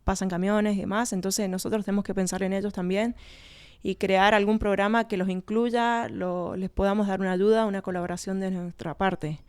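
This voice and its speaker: Clear-voiced Argentine woman in an interview. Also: mid-range voice, flat delivery, moderate pace. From Argentina, born in Colombia. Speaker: winery research and communication manager, interview guest. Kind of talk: conversational interview answers.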